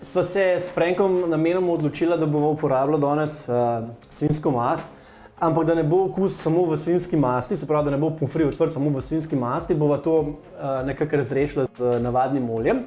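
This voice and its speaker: A male cooking show host with a slightly high voice chats and asks his guest questions, a bit halting in English. The studio kitchen is echoey and light music plays behind him. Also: mellow. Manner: chatty and interviewing, halting in English.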